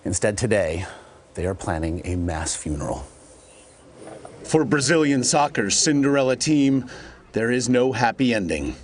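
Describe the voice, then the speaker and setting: A man narrating a scripted television news report in a measured, solemn way. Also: medium pitch, lively intonation.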